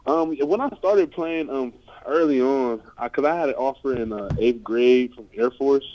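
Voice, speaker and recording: A man's voice: mid-range voice, normal speed, slight background noise. Young guy from the states, athlete doing casual interview, says you know and like a lot, muffled call sound. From United States (California and Ohio). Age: 20s. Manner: informal, repeats "you know" and "like" often. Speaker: American football player, linebacker. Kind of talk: casual press interview answers. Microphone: remote conference call. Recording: dull, lo-fi conference call audio.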